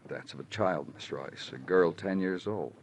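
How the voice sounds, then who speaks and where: An American actor playing a weary, poetic New York police lieutenant in old radio crime drama, with terse, brooding delivery. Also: male voice, deep voice, moderate pace, slight background noise. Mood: terse, measured. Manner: terse questions, brooding literary narration. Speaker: lead actor and narrator. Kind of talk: 1940s-50s American radio crime drama acting and narration. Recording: vintage radio recording with music.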